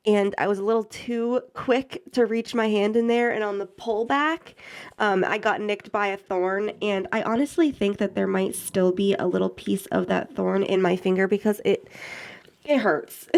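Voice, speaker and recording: A woman in her mid-twenties, stuffed up with a head cold, chatting warmly to camera and thanking her viewers at length. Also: medium pitch, vocal fry. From Maine, US. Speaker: budgeting YouTuber. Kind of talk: casual chat to camera.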